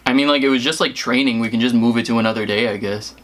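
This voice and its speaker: Bright-voiced young American man, rambling casually. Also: medium pitch, fairly even intonation, fast. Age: young adult. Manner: hesitant, rambling, heavy use of "like". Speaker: prank-call vlogger. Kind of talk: casual chat to camera and on phone calls.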